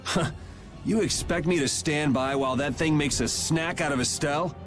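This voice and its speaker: A man's voice: a little high, normal speed, over music, natural voice. A man playing a cocky, laid-back young rebel swordsman in an anime video game. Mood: wry.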